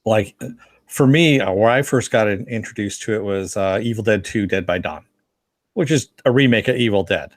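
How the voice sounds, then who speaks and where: A man's voice: lowish voice, moderate pace, no room sound, clean studio sound. A man co-hosting a podcast, chatting casually and reading out listener comments. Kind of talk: casual fan podcast discussion.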